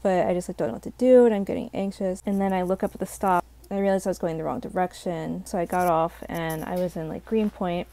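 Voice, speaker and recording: A young woman, slightly hoarse, telling a rambling casual storytime full of "like" fillers. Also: fairly low pitch, steady tone, fast, few pauses, warm tone. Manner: rambling, heavy use of "like" fillers. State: slightly hoarse from a sore throat. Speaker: vlogger.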